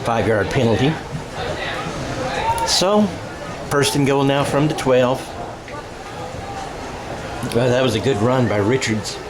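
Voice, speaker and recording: An American man calling a football game radio-style in a conversational, halting way, often correcting himself. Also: medium pitch. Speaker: play-by-play sports commentator. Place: outdoor high school football game. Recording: crowd noise behind the voice.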